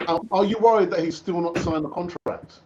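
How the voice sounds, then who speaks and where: A man's voice: high-pitched, brisk, dull audio. A man co-hosting a casual football discussion, putting questions to the panel. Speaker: show co-host asking questions. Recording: noisy, quiet, clicking background.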